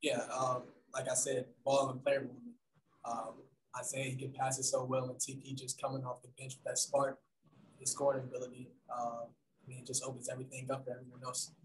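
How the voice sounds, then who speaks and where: A young man answering post-game press questions in a soft, hesitant way with many pauses and ums, over a video call. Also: mid-range voice, completely flat delivery, a little hiss or hum. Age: young adult. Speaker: basketball player at press conference. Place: room in front of team backdrop. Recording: roomy video call audio.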